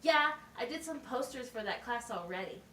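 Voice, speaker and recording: A woman's voice: on the high side, animated, moderate pace, fairly bright tone. A woman voicing an earnest schoolgirl in a puppet show, answering in short, simple, hesitant phrases. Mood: earnest, unsure. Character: schoolgirl puppet named Rose, earnest and brief. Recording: roomy, distant mic, faint noise.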